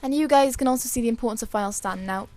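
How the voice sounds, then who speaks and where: A woman with a dry, hoarse voice giving casual, chatty and rambling commentary over a video game. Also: on the high side, racing, mellow, dry recording. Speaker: gaming video creator.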